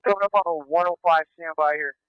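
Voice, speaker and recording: A man working as a police officer, calling out a pursuit over police radio in terse, halting live commentary. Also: a little high, fairly even intonation, clear, resonant tone, dry recording, soft background music. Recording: muffled police scanner radio.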